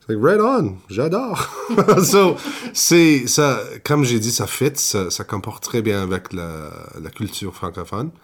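Deep-voiced man, relaxed, music beneath. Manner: relaxed, mixes English words into French. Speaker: accordion player. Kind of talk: interviewee recalling his life.

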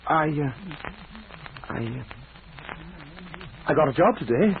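A man's voice: on the high side, lively intonation, slow pace, creaky, full character voice. An actor playing a meek, bashful man who stammers and hesitates, in 1940s radio acting style. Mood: earnest, shy. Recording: tinny hissy old radio recording.

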